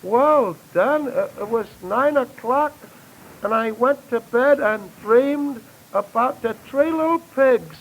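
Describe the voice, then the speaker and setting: An actor playing a dim, mawkish racketeer acting innocent in halting, ungrammatical New tough-guy talk, in 1930s radio comedy style. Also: very high-pitched, animated, creaky, a little hiss or hum, full character voice, low-quality recording. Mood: earnest, dim. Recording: thin, band-limited old radio recording.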